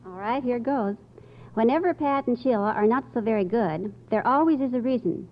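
A woman's voice: on the high side, moderate pace. A woman, an amateur contestant answering and reading aloud on a radio quiz show. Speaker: contestant, mother of quiz kids. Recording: dull old radio broadcast recording.

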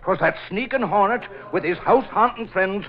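Man playing blustering high-voiced Irishman, old radio. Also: moderate pace, lightly acted. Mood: indignant, blustering. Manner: blustery, emphatic, folksy turns of phrase. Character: blustering, indignant Irishman, loyal and hot-headed. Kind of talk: 1940s radio acting. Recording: dull vintage radio recording.

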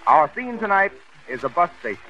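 A man with an American accent announcing a 1930s radio show in a polished, salesmanlike way, reading scripted pitches and scene-setting. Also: big pitch swings, brisk, over loud music. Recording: muffled, noisy 1930s radio recording.

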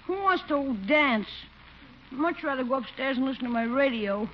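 An actor playing a sulky, wisecracking schoolboy with a whiny, slangy delivery in 1940s radio comedy. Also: male voice, extremely high pitch, expressive, moderate pace. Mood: sulky, scornful. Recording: muffled, hissy old radio recording.

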